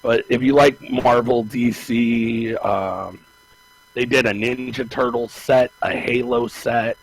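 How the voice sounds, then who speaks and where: Gravelly, husky low male voice. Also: fairly even intonation, slow pace, no room sound, low-quality recording. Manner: rambling, hesitant, many restarts. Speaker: podcast co-host. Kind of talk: casual chat.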